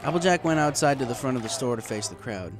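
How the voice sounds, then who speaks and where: A man's voice: medium pitch, expressive, fast talker, faint music behind, some chatter behind. A man reading a story aloud as scripted narration. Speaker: fan fiction narrator.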